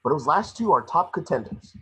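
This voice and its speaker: A man playing a small, cocky, wisecracking mouse detective in a table read, with a rough-edged husky voice. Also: on the high side, big pitch swings, a bit bright, low-quality recording. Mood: insistent. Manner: fast-talking wisecracking patter. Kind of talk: animated script table read.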